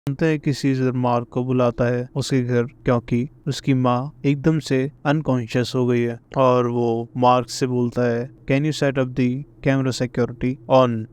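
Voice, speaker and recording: Flat-toned Indian man narrating. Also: mid-range voice, smooth. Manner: mixes Hindi with English phrases. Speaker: host and narrator. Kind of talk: explainer narration of a horror series.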